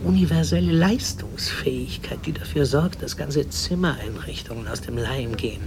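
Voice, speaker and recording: An actor playing a withdrawn, grandiose prophet of destructive force in a radio drama, grave and declamatory. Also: male voice, medium pitch, normal speed, noisy background, close to an everyday voice. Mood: awed, grandiose.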